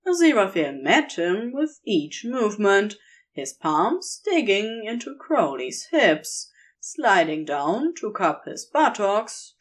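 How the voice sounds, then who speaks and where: Woman reading romance fanfic as narrator, mid pitch, really slow, lots of melody. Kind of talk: scripted fan fiction narration, intimate romantic storytelling.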